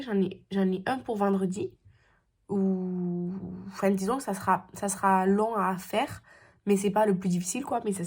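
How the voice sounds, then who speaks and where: A young woman talks to camera at home with a blocked, stuffy nose from a cold. She rambles in a casual, chatty way, full of pauses and hesitations, in an ordinary middle-pitched voice. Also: darkish tone, slightly rough. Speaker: beauty vlogger. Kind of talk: casual vlog chat.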